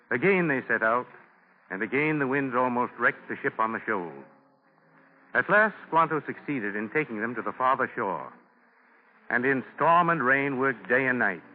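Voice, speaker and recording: A male narrator tells a story in formal, solemn 1940s radio style. His pitch is medium. The recording is narrow and tinny, with clear background noise. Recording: noisy, tinny 1940 radio broadcast.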